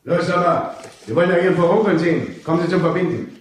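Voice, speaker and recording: An actor playing a brusque, impatient senior orderly in an asylum radio drama, heard from a distance in an echoey room. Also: male voice, high-pitched, quick pace, natural voice. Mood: brisk, bossy.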